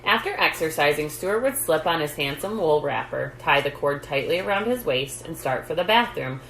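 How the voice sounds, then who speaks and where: A woman with a low, gravelly voice reads a children's story aloud in a measured way. The recording is roomy and echoey, with light noise behind her. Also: animated, fairly bright tone. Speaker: storytime reader, host. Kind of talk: reading a children's book aloud.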